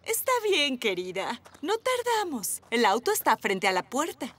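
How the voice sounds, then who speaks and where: Fussy cartoon zebra lady, Latin American Spanish, high and swooping, faint music behind. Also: huge pitch swings, a little slow. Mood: fretful, polite. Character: fretful, fussy adult female zebra. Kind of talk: children's cartoon dubbing.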